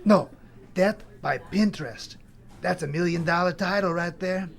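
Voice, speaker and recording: A man's voice: high-pitched, a little slow, vocal fry. A man playing Death as a brash, glib, freeloading cousin who rambles in bro talk in an audio comedy drama. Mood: glib, animated.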